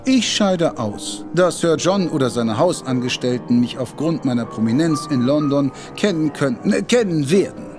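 An actor playing a proud, protective detective who speaks emphatically and with indignation. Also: male voice, a little high, animated, moderate pace, few pauses, music in the background, lightly acted. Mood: indignant, lively. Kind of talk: German audio drama acting. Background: faint background score.

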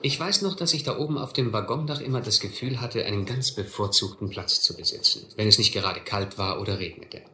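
An actor playing a reflective narrator who recalls his hobo youth in a radio play, measured and literary. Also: on the low side, close to an everyday voice. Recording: roomy, clearly noisy radio play recording.

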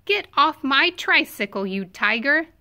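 A woman's voice: sits high, expressive, measured pace, creaky, bright, forceful tone, heavily acted. Woman reading as an indignant little storybook girl. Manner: firm, indignant. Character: indignant little girl shooing animals away.

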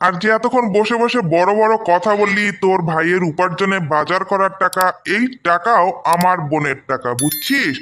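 A man's voice: sits high, normal speed, sharp tone, a little hiss or hum. A man voicing a defiant, protective young brother in a cartoon story, argumentative and insistent, with a Bangladeshi accent. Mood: defiant, accusing. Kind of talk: amateur cartoon story dubbing.

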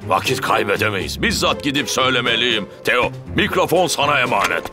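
A man's voice: mid-range voice, fairly quick, over music, lightly acted. A man voicing an elderly, flustered but kindly train station master in a children's cartoon dub, full of sighs and exclamations. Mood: urgent, concerned. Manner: excitable, sighing, exclaiming.

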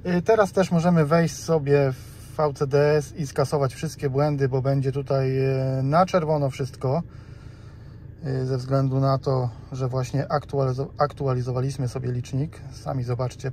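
A man's voice: medium pitch, little pitch movement, normal speed, darkish tone. A man with a Polish accent giving a casual step-by-step car tutorial in a conversational, slightly hesitant way. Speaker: host of a car DIY tutorial channel. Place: inside a car. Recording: clear background noise, car ambience.

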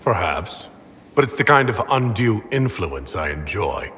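An actor playing an earnest, steadfast leader in a fantasy game, speaking in a calm, measured way. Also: male voice, lowish voice, a little hiss or hum. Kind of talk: video game cutscene dialogue. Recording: long reverb, music behind.

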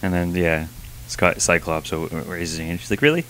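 A man's voice: deep voice, lively intonation, moderate pace, fairly bright tone, slight background noise. A young man co-hosting a casual comic review chat into a handheld mic, informal and joking. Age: young adult. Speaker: review show co-host. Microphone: handheld microphone.

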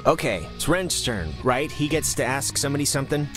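A man with an American accent playing an awkward, laid-back teenage newcomer in a video game, hesitant and low-key. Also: animated, fairly quick, over music, lightly acted. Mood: casual, hesitant. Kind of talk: naturalistic video game acting.

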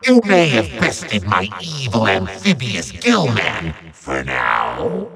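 An actor playing a pompous, short-tempered evil sorcerer villain in a video game, with a gravelly, nasal voice and bombastic, sputtering theatrical delivery. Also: male voice, on the low side, wildly swooping pitch, slow, sharp tone, recorded from a distance, heavily acted. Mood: outraged, frustrated.

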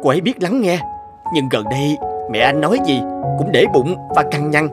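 A man's voice: fairly high pitch, expressive, moderate pace, loud music behind the voice, close to an everyday voice. A man voicing an unfaithful young husband in a cartoon, weary and dismissive. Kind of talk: cartoon dubbing.

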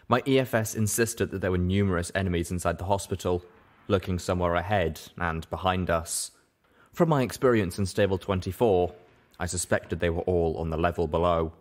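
Deep-voiced man reading a story. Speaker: audiobook reader. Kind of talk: scripted narration of fan fiction.

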